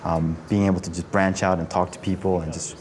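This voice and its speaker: A young man from South Korea, a graduate student, speaking softly in a casual group interview. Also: low-pitched, level tone, fairly quick, a little hiss or hum.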